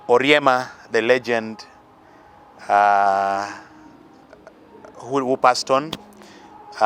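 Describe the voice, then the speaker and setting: A man's voice: medium pitch, fairly bright tone, a little hiss or hum. A musician from northern Uganda with a Ugandan accent, earnestly giving life advice and talking about his influences in an interview, with many fillers and restarts. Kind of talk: interview answers.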